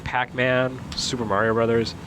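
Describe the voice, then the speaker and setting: A man's voice: mid-range voice, level tone, moderate pace, noisy background. A man giving casual, wry commentary as a video game critic, joking as he talks.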